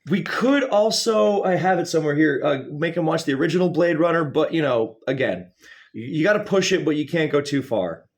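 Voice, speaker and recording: A man hosting a casual, rambling comedic video, speaking in a halting, digressive way with wry, mock-serious grand phrasing. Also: on the high side, lively intonation. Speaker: video host.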